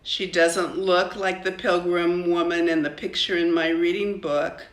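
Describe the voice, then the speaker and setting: A woman's voice: fairly low pitch, fairly even intonation, measured pace, echoey room, natural voice. A woman voicing an earnest young schoolgirl in a storybook, explaining things simply. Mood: hesitant, admiring.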